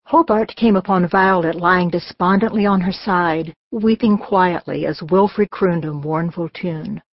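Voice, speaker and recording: Lowish female voice, storybook narration, dry recording, slightly dull sound. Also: unhurried, no room sound. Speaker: audiobook narrator. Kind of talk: scripted children's story narration.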